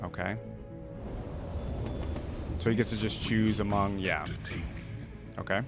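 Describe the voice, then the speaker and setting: A man's voice: lowish voice, fairly even intonation, takes it slowly, music in the background. A man hosting a reaction video in casual commentary, thinking aloud with hedges and sometimes reading game text.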